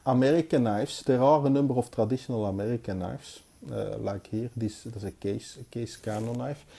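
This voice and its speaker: Mellow, dark-voiced Belgian man reviewing. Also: mid-range voice, creaky. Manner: hesitant, halting, unscripted delivery. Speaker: knife collector and reviewer. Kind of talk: casual hands-on review commentary. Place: indoors, at a table.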